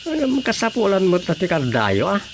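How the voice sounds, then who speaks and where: A man's voice: high voice, wildly swooping pitch, normal speed, slight background noise, full character voice. A Filipino actor playing an officious, meddling village councilman and inspector in a radio comedy drama. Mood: matter-of-fact.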